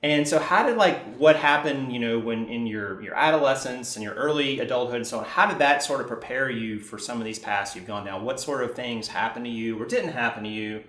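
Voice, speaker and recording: A man's voice: mid-range voice, fairly quick, hardly any pauses, a bit bright, roomy sound. A man hosting an interview, asking long questions that wander and restart. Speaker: interview host.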